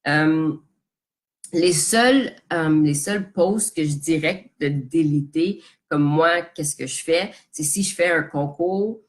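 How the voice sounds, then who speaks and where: A woman's voice: low voice, takes it slowly. A woman with a Quebec French accent coaching her audience live, speaking casually and directly and dropping English terms into her French. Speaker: business coach. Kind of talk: live social media coaching talk. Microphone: phone or webcam on a live stream.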